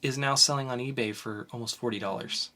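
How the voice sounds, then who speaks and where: A man's voice: medium pitch, fairly even intonation, brisk, pushed and bright. Playful young man hosting a podcast. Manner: playful, teasing quizmaster. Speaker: podcast host. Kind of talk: casual podcast chat.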